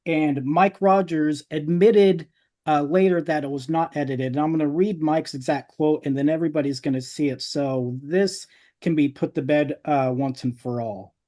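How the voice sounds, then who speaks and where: A man's voice: on the high side, fairly even intonation, normal speed, warm tone. A man hosting a podcast, talking informally and casually with his guest. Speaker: podcast host. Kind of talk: casual podcast interview chat.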